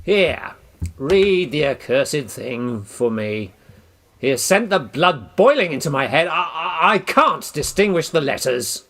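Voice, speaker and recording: A man's voice: on the high side, sing-song, unhurried, fairly bright tone, full character voice. A man acting a bullying, hot-tempered duke in a radio play, blustering and domineering. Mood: irritated. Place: actor's home, recorded remotely.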